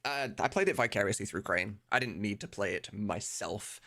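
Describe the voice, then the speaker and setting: A man's voice: fairly low pitch, lively intonation, normal speed, pushed and bright. A man streaming casually as he works, thinking aloud in an informal, sweary way. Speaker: streamer and mod voice director. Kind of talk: casual livestream chat while working. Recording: music behind the voice. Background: music.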